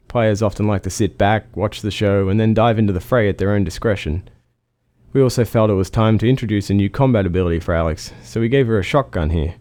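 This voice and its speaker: A man presents prepared game developer commentary in a fairly low voice. He speaks as one of the designers explaining choices. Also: darkish tone.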